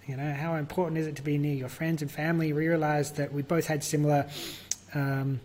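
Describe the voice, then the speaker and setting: A man with an Australian accent talks through his work as an interview guest, pausing often on small fillers and laughing lightly. His voice sits fairly high for a man. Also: level tone, a little mellow, a little hiss or hum. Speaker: guest, virtual events business owner. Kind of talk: conversational interview.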